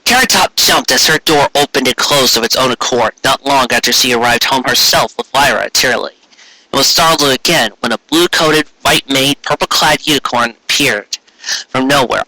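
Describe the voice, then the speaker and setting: A man narrates a fantasy story in an amateur read-aloud style. His voice is very bright and somewhat raspy, recorded close and dry. He trips on words and corrects himself as he goes. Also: medium pitch, normal speed, dry recording, rough recording. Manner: stumbling over words, self-correcting. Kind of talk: reading fan fiction aloud, narration.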